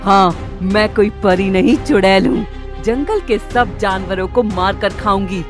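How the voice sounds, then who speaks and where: A woman's voice: mid-range voice, a little slow, music in the background, close to an everyday voice. Woman voicing a cruel, gloating cartoon witch. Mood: gloating menace. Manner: gloating, menacing, theatrical. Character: cruel gloating witch who eats forest animals. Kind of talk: Hindi animated moral story acting.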